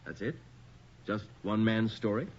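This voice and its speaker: An actor playing an inquisitive, wry and uneasy investigator in a radio horror drama, speaking in an expressive, questioning way. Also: male voice, on the low side, measured pace. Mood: uneasy, curious. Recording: dull, noisy old radio recording.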